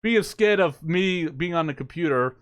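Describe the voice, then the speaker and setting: Rambling man, slightly high voice. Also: lively intonation, normal speed. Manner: rambling, full of "like" and "it's like". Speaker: podcast co-host. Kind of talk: casual podcast chat.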